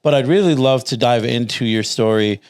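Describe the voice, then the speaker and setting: Man, podcast host, crisp resonant voice, medium pitch, informal, effusive, halting delivery. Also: darkish tone. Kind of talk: casual podcast interview. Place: studio.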